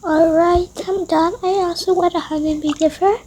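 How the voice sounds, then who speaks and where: Soft, high young female voice with noise. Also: fairly even intonation, normal speed. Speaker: roleplay video creator. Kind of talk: improvised toy-game roleplay storytelling.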